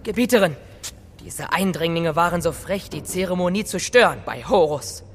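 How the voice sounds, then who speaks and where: Give the priest a high, forceful voice that swoops with self-importance. a zealous, commanding Egyptian temple priest in a children's radio play. He is pompous in every order, ringing out in long reverb. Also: expressive, moderate pace. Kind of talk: children's audio drama acting.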